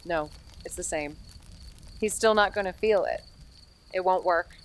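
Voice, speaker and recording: An actress playing a weary, wry amateur investigator in a noir audio drama, with measured, deadpan delivery. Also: female voice, fairly low pitch, a little hiss or hum, poor audio. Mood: grim, firm. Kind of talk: scripted noir audio drama, narration and dialogue.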